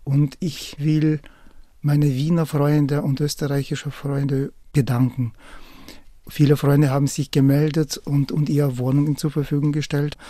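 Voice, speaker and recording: Man, radio guest; warm-hearted, broken phrasing, fairly high, mellow tone. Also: little pitch movement, unhurried, dry recording, studio quality. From southern Ukraine. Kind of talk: radio interview.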